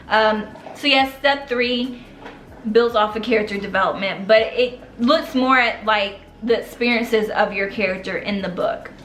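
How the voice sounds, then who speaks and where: A woman's voice: a little high, fairly bright tone. A young adult woman giving writing tips in a casual, rambling way, full of slang. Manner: chatty, rambling, uses slang. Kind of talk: casual talk to camera. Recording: echoey room, faint noise.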